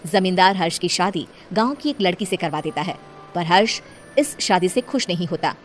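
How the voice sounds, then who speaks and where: A woman's voice: lowish voice, lively intonation, normal speed. Woman narrating a story over music. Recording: music behind the voice.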